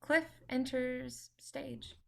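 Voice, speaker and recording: A woman with a fairly high voice reads out stage directions in a scripted, narrating style. The recording has faint noise behind her. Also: steady tone, very slow, vocal fry, fairly bright tone.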